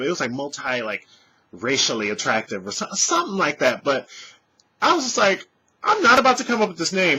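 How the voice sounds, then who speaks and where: Animated man telling a story to his webcam. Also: medium pitch, breathy edge, rough recording. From California. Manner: animated, gestures a lot, swears freely. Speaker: vlogger. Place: small room at home, indoors. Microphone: webcam at desk distance.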